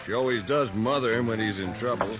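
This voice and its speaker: An actor playing a smug, sneering saloon owner who mocks and laughs in a 1950s radio drama. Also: male voice, lowish voice, fast, over music, close to an everyday voice. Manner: smooth, mocking, laughing.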